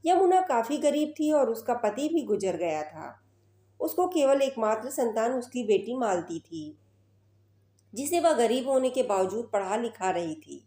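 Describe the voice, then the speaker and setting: Echoey, mellow woman storyteller. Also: a little high, sing-song, a little slow. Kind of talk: scripted story narration. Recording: roomy, echoing voice recording.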